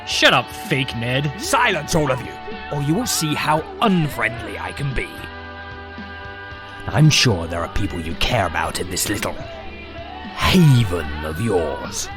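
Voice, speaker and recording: An actor playing a haughty, petulant young villain with pompous, menacing delivery in an audio drama. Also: male voice, mid-range voice, sing-song, measured pace, over music.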